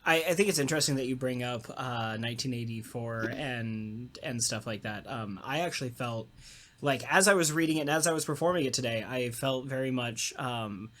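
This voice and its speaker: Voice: mid-range voice, a little fast, fairly bright tone. An enthusiastic co-host and voice actor chatting casually in a round-table talk, swearing freely.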